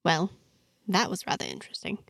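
A guarded, easily irked heroine of a fantasy tale, acted by a woman narrator. She prods and pushes with very animated pitch, slightly creaky, in a dry close sound. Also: a little fast, dry recording, exaggerated voice. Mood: curious. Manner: prodding, easily annoyed. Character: guarded, indignant woman, daughter of a powerful father.

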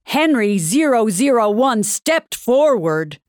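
A woman narrating a futuristic fairy tale in an audio drama, with a measured storybook delivery. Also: fairly high pitch, very animated, a little mellow, dry recording, clean studio sound. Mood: storytelling.

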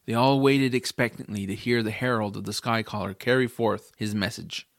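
A man's voice: lowish voice, steady tone, normal speed, vocal fry, no room sound, studio quality. A man narrating a first-person fantasy audiobook as scripted storytelling.

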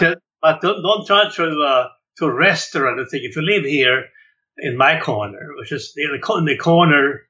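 A man's voice: medium pitch, pushed and bright, muffled recording. A man in his seventies from Sweden, a jazz clarinetist telling his story in an interview, hesitant with many fillers and repeated words.

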